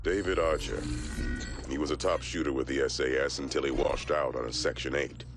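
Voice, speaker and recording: An actor playing a grizzled male intelligence briefer, gravelly and terse, in a game cutscene. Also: a bass voice, fairly even intonation, brisk, very noisy. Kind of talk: video game intel narration.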